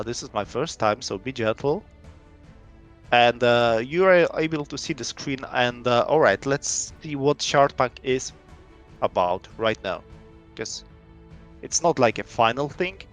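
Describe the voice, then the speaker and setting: A young Polish man talks through his indie game on a live stream, English not his first language, heavy with fillers and restarts. His voice sits in an ordinary middle pitch for a man. Faint music plays softly in the background. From Poland. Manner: rambling, lots of fillers and restarts.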